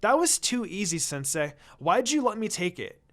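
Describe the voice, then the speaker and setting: Hot-tempered ninja girl, read by a narrator, scolding and pleading, pitch jumps around, story reading. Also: big pitch swings, moderate pace, close to an everyday voice. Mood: confident.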